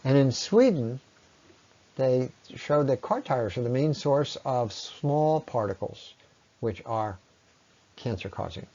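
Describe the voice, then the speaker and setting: A man giving an explanatory slide presentation as a lecturer, often pausing mid-sentence as he explains. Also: medium pitch, animated, dark tone, highs cut off.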